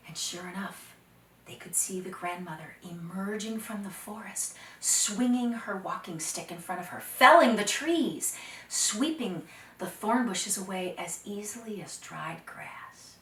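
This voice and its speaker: A woman telling a folktale to children, dramatic, expressive and deliberate, sometimes dropping to hushed phrases. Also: mid-range voice. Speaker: storyteller. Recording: roomy echo, distant microphone.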